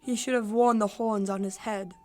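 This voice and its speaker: A woman's voice: mid-range voice, fairly even intonation, normal speed, faint music behind, close to an everyday voice. A young woman playing a witty courtier in a radio play of Shakespeare, teasing in formal banter.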